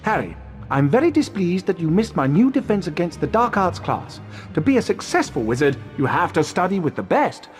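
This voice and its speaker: A vain wizard professor for a video game. His high voice swoops grandly and effusively, full of self-satisfaction, over a light bed of music. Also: sing-song, brisk. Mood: smug, chiding. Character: pompous, vain, showy male wizard professor. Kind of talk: theatrical video game voice acting.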